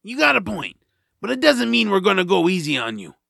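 Grumpy sarcastic swamp creature: heavy gravel, bright forceful edge, reluctant hero of a fantasy audio drama. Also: male voice, sits high, wildly swooping pitch, fairly quick, no room sound, studio quality, full character voice. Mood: stern, taunting. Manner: blunt, sarcastic, grumbling. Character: grumpy nap-loving male swamp creature, gruff reluctant rescuer.

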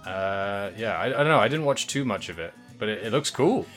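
Low-voiced rambling podcast co-host man. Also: expressive, brisk, a bit bright, soft background music. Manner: rambling, laughs often, trails off mid-sentence. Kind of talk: casual podcast chat.

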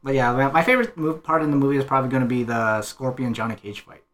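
A man's voice: mid-range voice, fast talker, a bit bright. A man talking casually and conversationally as a panelist in a group discussion. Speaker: podcast panelist. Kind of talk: casual chat.

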